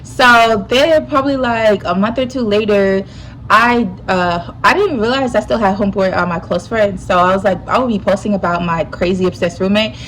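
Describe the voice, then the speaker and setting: A young woman with a slightly stuffy nose, telling a personal story in a casual, animated way full of slang. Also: medium pitch, normal speed, a little hiss or hum, poor audio. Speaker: YouTuber.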